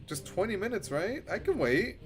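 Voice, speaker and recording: A man's voice: high-pitched, moderate pace, faint music behind. A man streaming a game, reading its story aloud theatrically and reacting casually, with frequent swearing. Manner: theatrical, expressive, swears freely. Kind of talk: dramatic read-aloud mixed with casual live reactions.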